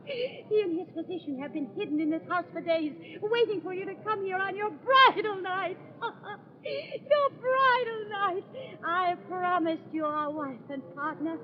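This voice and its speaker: An actress playing a scheming, vengeful young bride in an old-time radio horror play, with a theatrical, deliberate and insinuating delivery. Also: female voice, very high voice. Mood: vengeful triumph. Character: scheming, vengeful young bride luring her guilty husband. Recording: tinny, noisy old radio broadcast recording.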